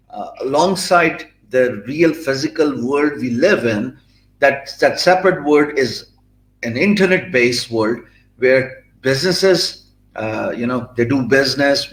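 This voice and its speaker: A man's voice: medium pitch, a bit bright, echoey room, poor audio. A middle-aged man from Pakistan with a Pakistani accent, speaking English as a second language after Urdu, answering interview questions fluently but with many fillers and restarts. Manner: fluent but filler-heavy, with frequent restarts and emphatic pauses. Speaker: guest.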